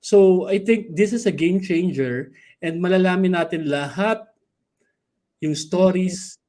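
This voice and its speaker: Male co-host, higher pitch, loose rambling delivery mixing Tagalog and English. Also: unhurried, mellow. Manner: rambling, mixes Tagalog and English, many fillers. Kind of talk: casual livestream talk.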